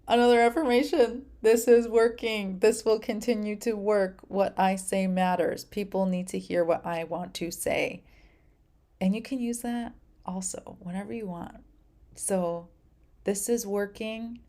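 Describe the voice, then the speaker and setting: A woman hosting her own podcast, talking casually and encouragingly to listeners and reciting affirmations. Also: fairly low pitch. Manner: gentle, encouraging, speaks in affirmations. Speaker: podcast host. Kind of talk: casual solo podcast talk.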